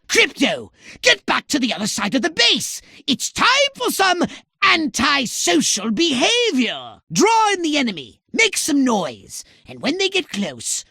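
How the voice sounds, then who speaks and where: A man voicing a haughty, scheming little alien commander in a video game, with a shrill nasal voice and pompous, sardonic, wordy delivery. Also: vocal fry, bright, forceful tone, no room sound, clean studio sound, full character voice. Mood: commanding, agitated. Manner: pompous, verbose, sardonic, exclaiming dramatically. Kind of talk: theatrical video game voice acting. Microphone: close, dry studio microphone.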